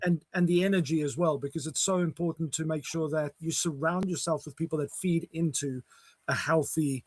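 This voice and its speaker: A man's voice: on the high side, fairly even intonation, fairly quick, a little mellow, husky, no echo. A man hosting a live video call chat, encouraging and thankful as he wraps up with his co-hosts. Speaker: host. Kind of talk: casual chat wrap-up on a live stream.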